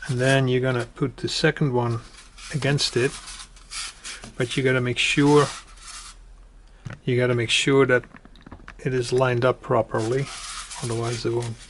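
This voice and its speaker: Even-toned man, halting step-by-step tutorial. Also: mid-range voice. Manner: halting, step-by-step, repeats instructions. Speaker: presenter of a do-it-yourself electronics tutorial. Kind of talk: informal hands-on tutorial explanation. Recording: clearly noisy home recording with clicks.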